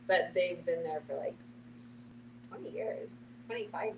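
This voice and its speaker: A woman's voice: on the low side, measured pace. A middle-aged woman talking casually in a group conversation. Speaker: discussion participant. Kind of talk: casual chat. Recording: echoey noisy room, distant mic.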